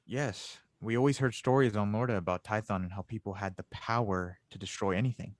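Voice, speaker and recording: A man's voice: on the low side, fairly quick, no echo, lightly acted. A man playing an earnest, troubled traveler on a quest in an audio drama table read, serious and guarded.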